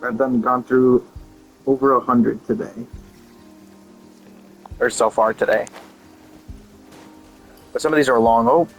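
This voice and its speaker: A man's voice: medium pitch, steady tone, takes it slowly, long pauses, faint music behind, rough recording. A man giving casual playthrough commentary, rambling and thinking aloud as he goes. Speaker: gaming video host.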